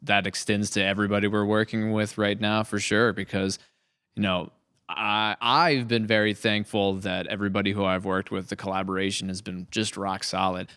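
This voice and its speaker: A man's voice: lowish voice, flat delivery, normal speed, fairly bright tone, clean tone, dry recording. A man hosting a podcast, rambling and joking with the cast, talking close to the mic. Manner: rambling, joking, trails off into restarts. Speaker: podcast host and series creator. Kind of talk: casual podcast chat.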